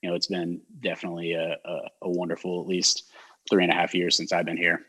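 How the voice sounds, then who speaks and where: A man with a neutral American accent hosts a casual community chat, his voice low and a little husky. He talks in a loose, rambling way, full of fillers and restarts. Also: completely flat delivery, fast talker, bright, forceful tone. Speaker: mayor hosting a community talk show.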